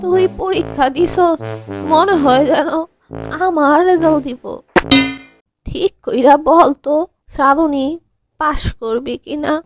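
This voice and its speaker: A woman's voice: high-pitched, takes it slowly, pauses often. A woman playing a worried, nagging middle-aged village mother in a cartoon comedy, lamenting in a Bangladeshi accent. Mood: fretful, worried. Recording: music bed behind voice.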